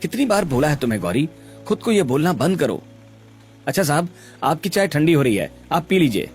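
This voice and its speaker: A man voicing a devoted young husband in a cartoon story, soothing and gently teasing. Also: a little high, sing-song, fairly quick, husky, faint music behind, heavily acted. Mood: earnest. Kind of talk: Hindi cartoon story voice acting.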